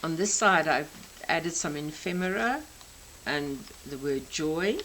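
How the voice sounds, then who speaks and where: A South African woman in her sixties, a native Afrikaans speaker, gently explaining each detail of her craft journal. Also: low-pitched, expressive, slow, slight background noise, low-quality recording. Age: 60s. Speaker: craft channel host. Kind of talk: crafting show-and-tell flip-through.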